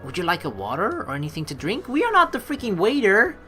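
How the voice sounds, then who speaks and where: A woman's voice: medium pitch, huge pitch swings, quick pace, a bit bright. A woman let's player reading game text aloud expressively, mixed with casual commentary. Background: game music.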